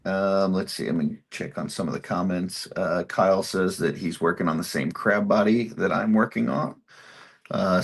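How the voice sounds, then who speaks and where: A man hosting a live painting stream, reading viewer comments aloud in a relaxed, casual way. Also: low-pitched, gravelly, breathy edge.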